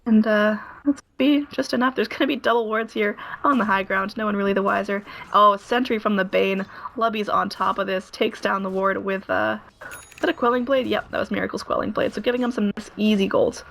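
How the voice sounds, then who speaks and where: Hoarse, gravelly woman casting a match. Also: medium pitch, steady tone, a bit bright, rough recording. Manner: fast running narration with frequent "uh" fillers. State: hoarse, losing her voice. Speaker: esports commentator. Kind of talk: live play-by-play game commentary.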